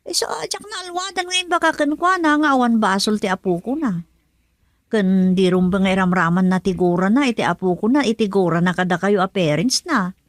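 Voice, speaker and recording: A woman's voice: medium pitch, a little fast, dry recording, clean studio sound, lightly acted. A Filipino woman acting in a radio drama, playing an outspoken woman protective of her grandchild. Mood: indignant, confiding.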